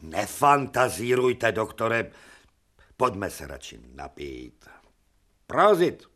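A man's voice: on the low side, sing-song, a bit bright. An actor playing an irascible, sarcastic older male professor in a radio drama, lecturing with scorn and emphasis. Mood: musing.

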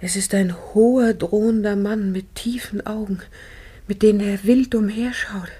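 An actress playing a frightened, dutiful daughter in a classic German radio drama, speaking in an earnest, hushed manner. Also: female voice, mid-range voice, steady tone, moderate pace, husky, lightly acted. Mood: fearful, hushed.

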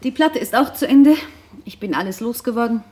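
A woman's voice: on the high side, animated, a little fast, mellow, slightly rough. Sardonic, independent woman in radio drama. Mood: wry, talkative. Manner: talkative, ironic, pointed. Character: self-assured, sardonic, independent older girlfriend of a runaway man. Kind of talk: naturalistic radio drama acting.

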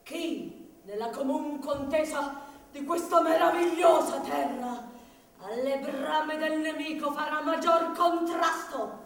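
A woman's voice: sits high, slow pace. A woman playing a combative, bossy master with commanding, declamatory delivery in commedia dell'arte stage theatre. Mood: defiant, plotting. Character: bossy, combative master claiming the land as their own. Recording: very reverberant hall, distant mic.

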